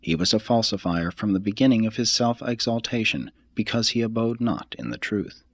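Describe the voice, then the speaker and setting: A man with a fairly low, husky voice narrates a solemn religious text. His delivery is formal and deliberate, with lively rises and falls in pitch. The sound is dry and close, with no room around it. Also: no echo. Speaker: audiobook reader.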